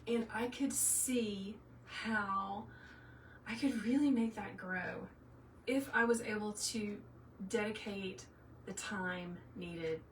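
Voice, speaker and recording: A woman's voice: mid-range voice, slow pace. Roomy, distant Southern US woman chatting live. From South Carolina, US. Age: middle-aged. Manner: folksy, chatty, addresses viewers by name. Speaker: craft tutorial livestream host. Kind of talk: casual live chat with viewers. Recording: roomy, distant mic, faint noise.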